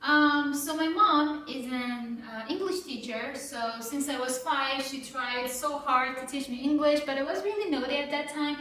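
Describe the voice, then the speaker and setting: A young adult woman from Iran, a native Persian speaker, co-hosting a casual chat, eager and quick to chime in. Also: sits high, sharp tone. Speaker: co-host, language learner. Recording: echoey room, mic at a distance, faint noise.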